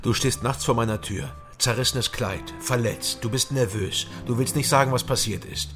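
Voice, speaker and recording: An actor playing a wary middle-aged East German private detective in a radio crime drama, terse and matter-of-fact. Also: male voice, medium pitch, normal speed, music in the background, lightly acted. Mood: uneasy, questioning. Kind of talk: radio crime drama with first-person narration.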